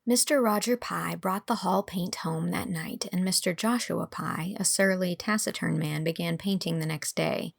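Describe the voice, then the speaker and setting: A woman's voice: on the low side, moderate pace, vocal fry, few pauses. A woman reading scripted narration for a classic storybook.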